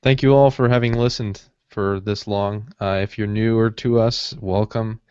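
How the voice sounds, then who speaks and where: A man co-hosting a podcast with casual, deadpan joking over an online call. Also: lowish voice, normal speed, clear, resonant tone, no room sound. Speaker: podcast co-host. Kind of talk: casual chat. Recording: dry, dull online call audio.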